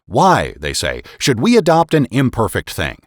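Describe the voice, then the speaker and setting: A man's voice: medium pitch, huge pitch swings, moderate pace, no echo, clean studio sound. A man giving a dramatic reading of historical political essays in a formal, emphatic, oratorical manner. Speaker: podcast host and narrator.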